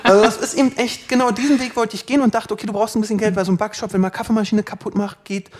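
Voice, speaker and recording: A man in his twenties from Berlin with a light Berlin accent, telling stories from his life as an interview guest, quick and joking, laughing often. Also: high voice, fairly even intonation, faint music behind. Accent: light Berlin German. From Berlin, Germany. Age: 20s. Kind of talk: casual storytelling interview.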